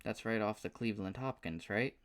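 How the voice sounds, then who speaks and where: A man's voice: lowish voice, a little fast, pitched above the performer's own voice, close to an everyday voice. A man reading a matter-of-fact male scientist. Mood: persuasive. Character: confident, secretive male scientist.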